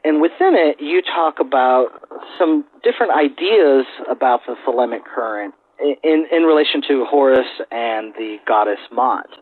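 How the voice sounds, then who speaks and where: A man hosting a podcast interview in a casual, measured and slightly hesitant way. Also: medium pitch, expressive, phone-quality sound.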